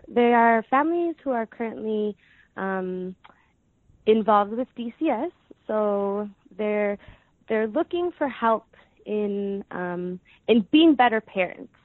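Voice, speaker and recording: A woman in her twenties answering interview questions casually, hesitant, with many pauses and fillers. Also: on the high side, no room sound. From United States. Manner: hesitant, many ums, soft laughs. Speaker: guest. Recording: dry tinny phone-like line.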